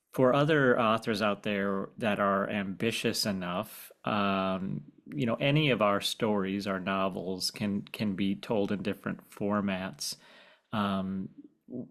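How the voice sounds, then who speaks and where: A man with a slightly low voice speaks as a podcast host. His interviewing is conversational and a little halting, with words often repeated as he works toward a question. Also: gravelly, fairly bright tone.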